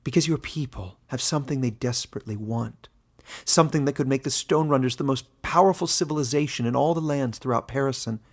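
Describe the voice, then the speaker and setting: A man's voice: mid-range voice, fairly quick, pitched above the performer's own voice, close to an everyday voice. Man voicing an earnest, pleading woman. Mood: urgent. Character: earnest, urgent woman traveler, loyal and pleading.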